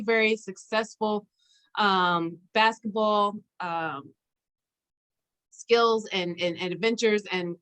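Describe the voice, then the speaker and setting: A woman hosting a conversational interview, chatty and halting as she searches for her words. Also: medium pitch, a bit bright. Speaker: interview host. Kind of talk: conversational interview with an informal guest introduction.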